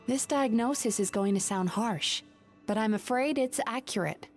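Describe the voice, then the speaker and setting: Earnest, measured therapist character, female actor, fairly high voice, gently firm, soft game music underneath. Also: lightly acted. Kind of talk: video game voice acting.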